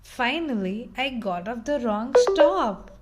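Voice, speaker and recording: Unhurried, higher-voiced woman reading a storybook. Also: expressive, heavy background noise. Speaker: picture book reader. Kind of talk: expressive children's story read-aloud.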